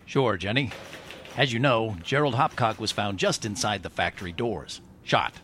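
Guy playing steady police detective, lowish punchy voice, radio mystery, bit of noise. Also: moderate pace, close to an everyday voice. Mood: firm. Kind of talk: old-time radio mystery acting.

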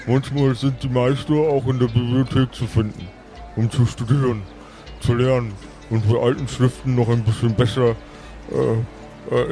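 A man voicing a frail old undead townsman in a tabletop audio play, halting, polite and helpful. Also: mid-range voice, warm tone, natural voice.

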